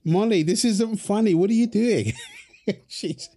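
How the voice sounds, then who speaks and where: A man's voice: high voice, measured pace, warm tone. A man performing a wholesome audio roleplay as a husband and dad, teasing his partner and cooing fondly at their baby with a playful manner.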